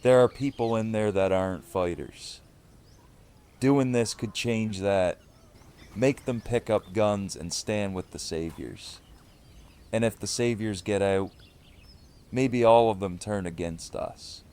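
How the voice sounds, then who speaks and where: Firm low-voiced man leading survivors, acted. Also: takes it slowly, a little mellow, clear, resonant tone. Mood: stern, insistent. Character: firm, principled male leader of survivors. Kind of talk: audio drama dialogue.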